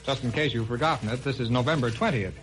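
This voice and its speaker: An actor playing a confident, bossy police detective in an old radio crime drama, brisk and slangy. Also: male voice, medium pitch, a bit bright, faint music behind, lightly acted, poor audio. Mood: alert, urgent.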